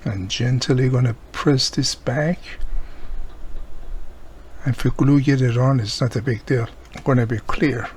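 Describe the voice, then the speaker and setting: A man's voice: mid-range voice, fairly even intonation, measured pace, long pauses, darkish tone, slight background noise. A man who is not a native English speaker explains a hands-on repair step by step, unscripted, often checking in with the viewer. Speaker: radio repair hobbyist presenting a tutorial.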